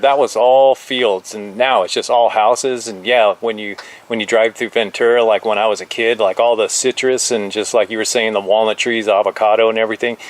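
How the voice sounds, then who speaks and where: A man chatting casually on two-way radio, halting and agreeable. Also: mid-range voice. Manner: agreeable, halting, filler-heavy with many repeated "like"s. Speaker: amateur two-way radio operator.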